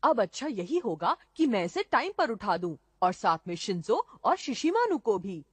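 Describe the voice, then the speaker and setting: A woman voicing a dutiful, excitable young boy ninja with a childlike sing-song manner in a cartoon dub. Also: mid-range voice, normal speed, breathy edge, no room sound. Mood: grumbling. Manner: peppers talk with sing-song catchphrases.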